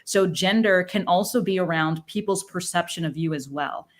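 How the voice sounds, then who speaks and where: A woman, a leadership coach speaking as a guest on an interview podcast, talking quickly with flat emphasis and often tagging her sentences with "right?". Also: on the low side.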